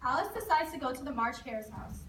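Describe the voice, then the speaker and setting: A woman's voice: sits high, very animated, fast talker, a bit bright. A woman reading scripted narration in an amateur readers theater performance. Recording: echoey room, distant mic, clear noise.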